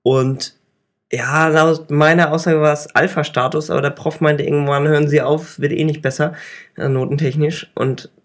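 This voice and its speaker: A young man co-hosting a casual chat, telling things in a relaxed, matter-of-fact way with some fillers. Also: fairly high pitch, moderate pace. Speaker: podcast co-host.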